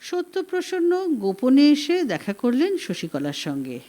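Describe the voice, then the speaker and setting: A woman narrating a literary story as an audiobook reader, in a measured, dramatic storytelling manner. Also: high voice, wildly swooping pitch, mellow.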